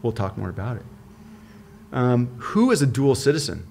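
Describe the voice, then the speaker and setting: A man hosting a podcast interview in a relaxed, upbeat, chatty way, joking with his guests. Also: medium pitch, creaky, slight background noise. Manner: upbeat, chatty, quick to joke. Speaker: podcast host. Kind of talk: casual interview chat.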